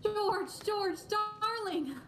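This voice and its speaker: A young woman playing a devoted, excited wife in a radio play. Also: very high-pitched, extremely slow, full character voice. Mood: relieved. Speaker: student actor. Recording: noisy stage recording.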